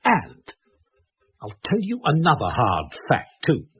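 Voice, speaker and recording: A man's voice: a little high, wildly swooping pitch, slow, a little hiss or hum, full character voice. Agitated British man, dull radio recording. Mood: pointed. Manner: urgent, emphatic. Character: agitated, insistent man searching desperately for explanations. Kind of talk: radio drama acting.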